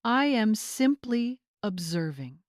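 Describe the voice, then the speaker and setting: A woman voicing a dignified older mother in an audiobook story, quiet, measured and restrained but powerful. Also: fairly high pitch, sing-song, no room sound, clean studio sound, voice pushed up. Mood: calm, firm.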